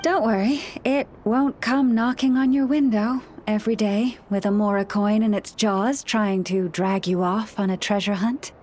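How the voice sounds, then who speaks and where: An actress playing a gentle, scholarly young priestess in a video game, speaking in a formal, measured, courteous way. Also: female voice, mid-range voice, fairly even intonation, slight background noise, close to an everyday voice. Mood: calm, reassuring. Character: gentle, scholarly young woman, a nature-loving moon priestess. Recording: music behind the voice. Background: background music.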